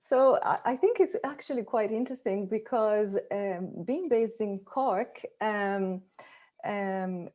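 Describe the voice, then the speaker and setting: A woman's voice: mid-range voice, takes it slowly, a little mellow. Italian-accented woman on a muffled call. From Italy. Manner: chatty, with many fillers and restarts. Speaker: conference respondent. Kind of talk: casual academic talk over video call. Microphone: video call.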